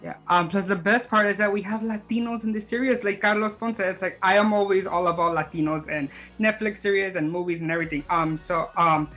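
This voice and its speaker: A man's voice: high-pitched, brisk, hardly any pauses, pushed and bright. Man, interview host, informal, hesitant, conversational, filler-heavy phrasing, faint music in background. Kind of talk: casual interview questions.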